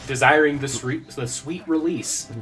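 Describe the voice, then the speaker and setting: A young man calls the action of a video game like a sports caster, slipping jokes into his play-by-play. His voice sits at an ordinary pitch, and faint music plays behind him. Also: unhurried. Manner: playful, jokey play-by-play. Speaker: co-commentator. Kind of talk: mock sports-caster commentary.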